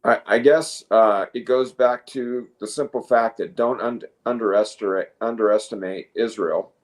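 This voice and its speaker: A man co-hosting a news commentary show, speaking casually and haltingly, opinionated, at times reading from notes. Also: lowish voice. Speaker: co-host.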